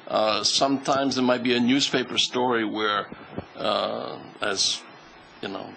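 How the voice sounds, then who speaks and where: Man, gravelly voice, panel Q&A, halting with fillers, faint background hiss. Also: medium pitch, steady tone, sharp tone, low-quality recording. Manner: hesitant, many fillers and restarts. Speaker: charity board member answering questions. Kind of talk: panel Q&A answer.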